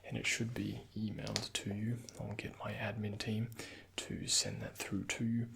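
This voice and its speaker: A soft-spoken man with a breathy, often half-whispered voice, playing a doctor in an ASMR roleplay and giving gentle instructions and reassurance. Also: lowish voice, flat delivery, normal speed, heavy background noise.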